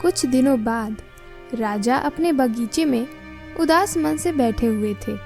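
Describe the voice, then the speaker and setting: A woman's voice: high-pitched, animated, slow, gravelly, a little mellow. A woman reading scripted storybook narration as the narrator. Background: music.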